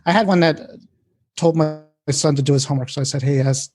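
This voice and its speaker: A man co-hosting a podcast in casual tech chat, telling stories in a colloquial, halting way. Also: on the high side, a little mellow. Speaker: podcast co-host.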